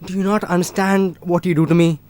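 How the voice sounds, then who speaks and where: A woman's voice: on the low side, little pitch movement, fairly quick, a little mellow, no room sound, lightly acted. A woman playing a moody, defensive young partner in a scripted drama, speaking bluntly. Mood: worried. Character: hot-tempered young film-loving partner, moody and defensive.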